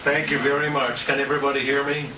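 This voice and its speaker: An older man giving an informal, rambling tribute speech in a halting, anecdotal way. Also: mid-range voice, level tone, over a noisy crowd. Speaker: retired radio announcer giving a tribute speech. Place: restaurant banquet room. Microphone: handheld compact camera at a distance. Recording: roomy, noisy handheld camera from far off.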